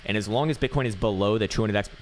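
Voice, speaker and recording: A raspy-voiced man giving casual, rambling chart analysis, swearing now and then and full of fillers and restarts. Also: on the low side, rapid-fire, a little hiss or hum. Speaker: market analyst.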